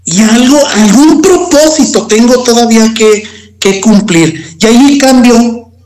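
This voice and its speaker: A Mexican man giving a personal testimony as an interview guest. He talks slowly and haltingly, often repeating words before going on. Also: very high-pitched, a little mellow, poor audio.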